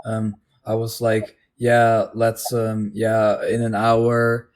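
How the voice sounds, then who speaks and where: Man chatting casually, halting delivery. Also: fairly low pitch, completely flat delivery, a little mellow, clean tone. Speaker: video co-host. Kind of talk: casual unscripted online chat.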